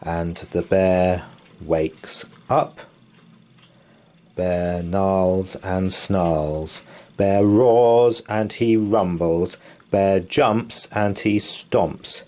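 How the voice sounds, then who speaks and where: A man reading a children's bedtime picture book aloud in a slow, sing-song storytelling manner. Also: low-pitched, dark tone. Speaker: story reader.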